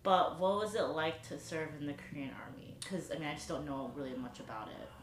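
A woman's voice: sits low, brisk, reverberant, a little hiss or hum. A young woman, an English teacher, chatting casually on a podcast with lots of "like" fillers.

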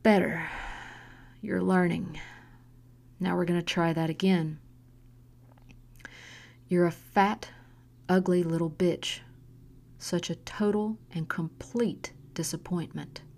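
A woman voicing a drunk, cruel mother who taunts and shouts with harsh scorn, in a storybook reading. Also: fairly low pitch, a little slow, pauses often. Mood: calm, contemptuous. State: drunk, slurring. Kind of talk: audiobook narration.

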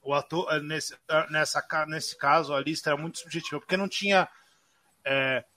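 A Brazilian man co-hosting a casual film podcast, animated and full of restarts and fillers. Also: fairly high pitch, fairly quick. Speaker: podcast co-host.

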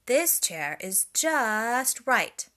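A woman's voice: on the high side, big pitch swings, exaggerated voice. Goldilocks, a woman doing a fussy little girl. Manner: loud and slowed down.

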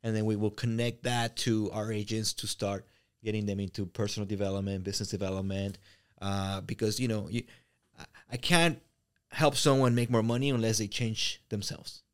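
A man with a Mexican Spanish accent and a somewhat low voice tells stories as a podcast guest. His delivery is animated, full of restarts and fillers, and he often repeats words as he builds up a point. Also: moderate pace, clean tone. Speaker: real estate brokerage owner, guest. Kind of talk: casual podcast interview.

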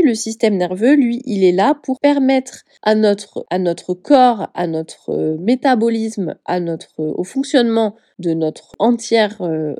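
A woman's voice: medium pitch, dark tone, dry recording, studio quality. A woman with a head cold and a stuffy nose, speaking with a Southern French accent as she hosts a casual solo podcast as a personal coach. She talks in a chatty, hesitant way, often searching for words and correcting herself.

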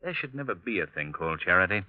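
Polished american gentleman sleuth, kindly but in charge, very lively pitch, old dull radio sound. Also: fast talker, no room sound. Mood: earnest, reflective. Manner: polished, authoritative, earnest. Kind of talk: 1940s radio drama acting. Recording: dull vintage radio recording.

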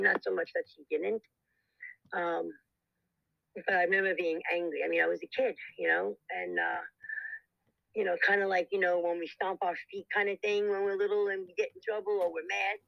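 An older guest with a raspy voice, telling a story in a casual, rambling way with many restarts and frequent fillers. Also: medium pitch, steady tone, brisk, dry recording. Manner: rambling, lots of restarts and "you know". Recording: dull lo-fi recording.

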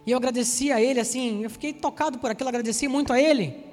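A man in his forties from Salvador with a Bahian accent, preaching a sermon and telling personal stories, speaking to the congregation directly with lively asides. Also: very high-pitched, very fast, in a large hall, soft background music. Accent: Bahian Brazilian Portuguese. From Salvador, Bahia, Brazil. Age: 40s.

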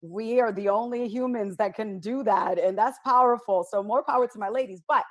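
A woman's voice: a little high, normal speed. An outspoken woman from Chicago's south side, talking as a guest on a panel discussion. She tells her stories bluntly and with lots of animation, in the easy, sure manner of a radio personality. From United States, south side of Chicago.

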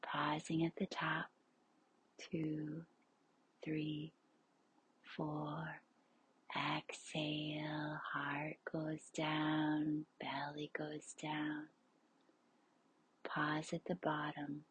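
A woman leading a guided relaxation as a yoga nidra instructor, soothing and unhurried, in a hushed, breathy voice that is often near a whisper. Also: sits low, completely flat delivery, rough recording. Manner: soothing, unhurried, spacious pauses.

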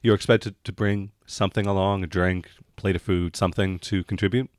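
Male game master, lowish voice, dry close sound, conversational improvised narration. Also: steady tone, brisk, no echo. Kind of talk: improvised narration of a tabletop role-playing game.

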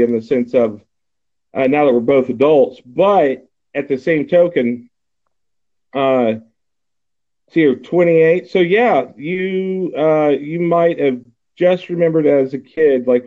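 A man in his forties from Texas, talking in a loose, rambling way full of fillers and restarts. His voice sits a bit high for a man and comes through a thin, tinny line like a phone call. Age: 40s. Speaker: podcast host. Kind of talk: casual chat.

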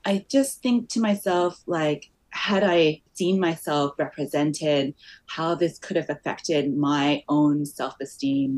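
A woman's voice: low voice, a little mellow. A woman around her forties with a muddled Australian accent, talking reflectively about herself as an interview guest, often saying "like" and "you know". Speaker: podcast guest, company founder. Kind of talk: personal, reflective interview talk.

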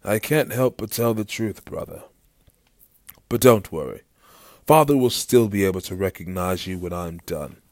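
A man's voice: sits low, lively intonation, moderate pace, dry recording, clean studio sound. A man voicing a boastful, scornful young prince who is an elder brother in a fantasy story. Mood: scornful boasting. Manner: proud, taunting.

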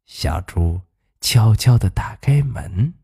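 Slow, animated children's storyteller. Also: medium pitch, vocal fry, breathy edge. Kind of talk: expressive fairy-tale narration for children.

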